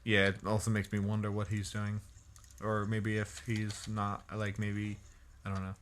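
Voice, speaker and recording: A man co-hosting a casual gameplay show, relaxed and joking, asking his partner questions. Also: on the low side, normal speed, a little hiss or hum. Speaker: co-host.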